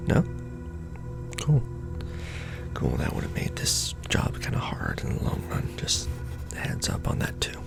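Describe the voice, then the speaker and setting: A man playing a casually menacing secret-society recruiter in an ASMR roleplay, with a breathy, mostly whispered, gravelly voice and sardonic, conspiratorial patter, close on the microphone. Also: a little slow, pauses often, loud music behind the voice, natural voice. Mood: chummy, sardonic. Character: casually menacing Illuminati recruiter onboarding a new initiate.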